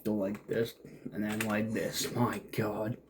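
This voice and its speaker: Male game streamer, casual and exasperated, unhurried delivery, faint noise and key clicks. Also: lowish voice, level tone. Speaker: streamer playing a story game. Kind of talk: casual gameplay reactions. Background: keyboard and mouse clicks.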